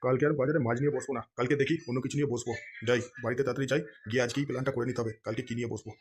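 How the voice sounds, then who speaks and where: A man's voice: mid-range voice, fairly even intonation, racing, slight background noise, close to an everyday voice. A man voicing a hard-up fish seller in a comedy cartoon who broods about money and snaps crudely. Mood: brooding. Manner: brooding, then crude and snappy. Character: hard-up male fish seller, gloomy and short-tempered. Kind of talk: Bengali comedy cartoon dialogue.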